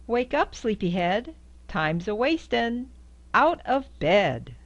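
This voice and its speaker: A woman's voice: sing-song, takes it slowly, muffled recording. Older woman reading a picture book aloud. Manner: sing-song, squeaks high on the horn sounds. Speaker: storybook reader. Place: indoors before a quilt backdrop.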